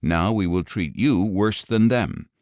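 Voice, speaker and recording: Man voicing menacing townsmen, deep and demanding. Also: measured pace, a little mellow, no echo, studio quality, natural voice. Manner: demanding, threatening. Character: hostile, menacing mob of townsmen. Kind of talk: audio Bible storytelling.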